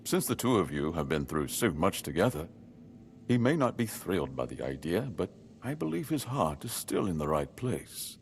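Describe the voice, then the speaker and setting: An actor playing a solemn, duty-bound noble lord in a game, speaking in a measured, stately way. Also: male voice, low voice, big pitch swings, slight background noise. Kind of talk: voiced game dialogue.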